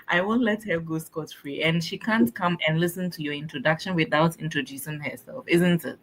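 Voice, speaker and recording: A woman with a slightly low-pitched voice co-hosts a livestream. She talks in a casual, chatty way and has a teasing manner. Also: normal speed, rough recording. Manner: chatty, teasing, often laughing. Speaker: co-host of a livestream. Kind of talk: casual live chat hosting.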